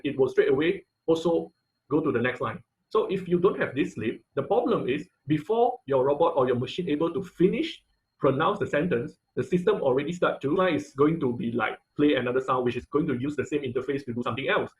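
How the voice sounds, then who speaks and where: A man with a mid-pitched voice is teaching an online class. He explains technical material step by step, as a lecturer would. His delivery is somewhat halting as he builds each explanation. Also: low-quality recording.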